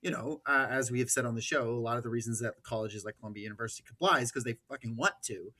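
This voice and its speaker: A man's voice: mid-range voice, sharp tone. Emphatic American man hosting a podcast. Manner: emphatic, profane, halting with repeated words. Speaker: podcast host. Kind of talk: casual political discussion.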